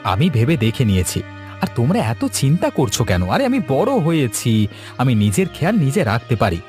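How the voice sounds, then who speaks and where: A man's voice: mid-range voice, wildly swooping pitch, normal speed, a little mellow, faint music behind. A man voicing a confident, eager young city man in a cartoon story. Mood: eager, breezy.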